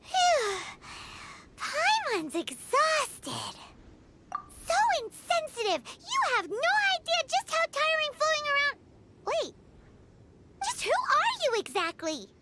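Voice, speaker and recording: A woman voicing a tiny, excitable childlike fairy companion in a video game, given to dramatic exclamations. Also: extremely high pitch, takes it slowly, long pauses, heavy background noise, full character voice. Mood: exhausted, indignant. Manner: dramatic exclamations, speaks of herself in third person. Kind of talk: video game cutscene acting. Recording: loud game music behind the voice.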